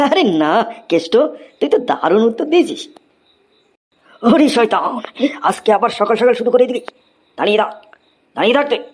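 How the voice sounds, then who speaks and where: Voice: medium pitch, big pitch swings, brisk, pauses often, slightly rough. A performer voicing an exasperated male schoolteacher in a Bengali comedy cartoon, with a theatrical, scolding manner.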